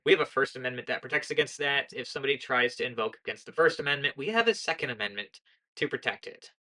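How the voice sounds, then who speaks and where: A young American woman giving casual political commentary in a monotone voice, earnest and lecturing, often correcting herself mid-sentence. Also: very deep voice, quick pace, rough recording. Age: young adult.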